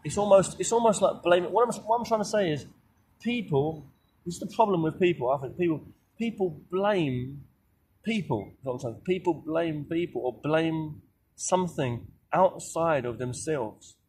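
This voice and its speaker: A man, talking in a halting vlog monologue full of fillers and restarts. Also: on the high side, darkish tone, a little hiss or hum. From London, England. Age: 30s. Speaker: vlogger.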